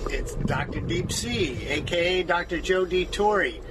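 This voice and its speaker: A man with a high, nasal voice, talking in an upbeat, jokey way about living and working underwater. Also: animated, a little slow, background noise. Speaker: researcher interviewed underwater. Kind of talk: casual interview clips. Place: undersea habitat.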